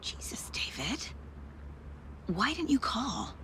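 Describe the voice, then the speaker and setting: A woman's voice: on the high side, very animated, slow, pushed and bright, in a whisper, full character voice. An actress playing a composed, serious investigator and mother in a naturalistic video game drama. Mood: concerned. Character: composed professional female investigator and caring working mother.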